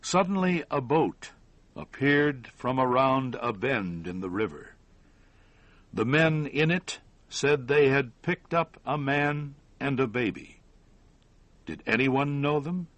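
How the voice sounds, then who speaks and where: Slow, expressive male storyteller. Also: medium pitch, no echo. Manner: measured, deliberate phrasing with short pauses. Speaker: narrator. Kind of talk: scripted audiobook-style story narration.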